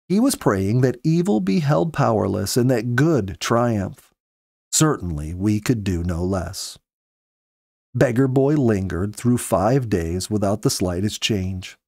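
A man in his fifties reading a story aloud in measured, earnest storybook narration, close to the microphone. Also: on the low side, darkish tone, no room sound. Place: small indoor home study. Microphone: close studio microphone with pop filter.

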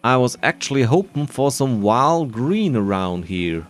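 A man's voice: mid-range voice, sing-song, unhurried. A man giving casual commentary on his own video game playthrough, muttering to himself as he plays. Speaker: gamer narrating a playthrough.

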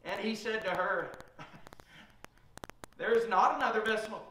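A man's voice: sits high, unhurried, pushed and bright, a little hiss or hum. A middle-aged man preaching a sermon at a pulpit in a small church, retelling Bible stories. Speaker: pastor. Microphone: room mic from a far camera. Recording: echoey church from far off.

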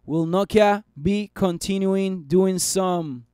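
A man's voice: sits high, slow pace, dark tone, smooth, no echo, clean studio sound. A man in his thirties streaming live stock chart commentary, chatty and upbeat as he reads viewer comments, his voice worn from talking. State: losing his voice. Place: small room at a desk.

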